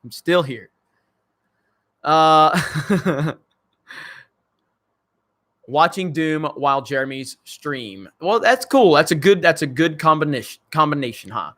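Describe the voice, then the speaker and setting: A man's voice: on the high side, lively intonation, slow, pauses often, fairly bright tone. A man with a crackly voice hosting a casual livestream, reading viewer comments and answering in a blunt, joking way with some swearing. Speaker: channel host.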